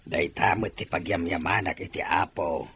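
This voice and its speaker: A man's voice: low-pitched, steady tone, moderate pace, a bit bright, slight background noise. A man playing a humble, hopeful middle-aged village husband and father in a Filipino radio-style drama. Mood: hopeful, grateful.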